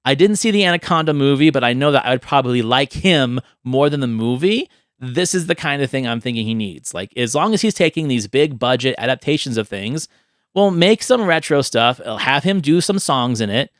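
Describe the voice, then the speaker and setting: A man's voice: medium pitch, expressive, brisk, dry recording, clean studio sound. A man hosting a podcast, chatting casually and enthusiastically in a rambling way. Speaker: podcast host. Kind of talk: casual chat about movie ideas.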